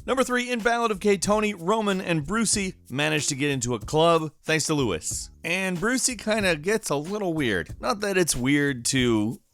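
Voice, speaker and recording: A man's voice: fairly high pitch, lively intonation, normal speed, hardly any pauses, a bit bright. A man hosting a gaming countdown, narrating casually with wry, offhand asides. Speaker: host of a gaming countdown show.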